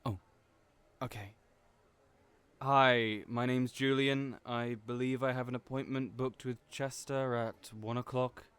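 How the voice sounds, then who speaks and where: A man playing a kind, gentle friend in an audio roleplay, who speaks hesitantly with frequent fillers. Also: mid-range voice, steady tone, measured pace, clean tone, lightly acted.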